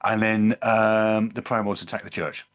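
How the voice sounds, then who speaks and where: Man, podcast host, lowish pitch, dry sound, halting casual recap. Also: little pitch movement, dry recording.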